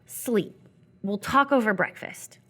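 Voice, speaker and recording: A woman's voice: mid-range voice, slow, pushed and bright. An actress in live radio theatre playing a sardonic, teasing young runaway woman. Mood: easygoing. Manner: teasing, wry.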